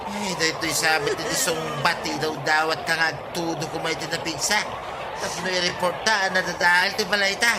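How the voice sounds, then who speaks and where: A man with an Ilocano Filipino accent playing an excitable, shifty village husband in a radio comedy drama. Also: a little high, a little fast, heavy background noise, natural voice. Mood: alarmed.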